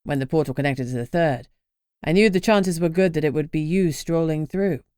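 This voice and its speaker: A woman reading the part of a gruff, stern male vampire who keeps a portal, speaking in a dry, matter-of-fact way. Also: low-pitched, normal speed, warm tone, no room sound, close to an everyday voice.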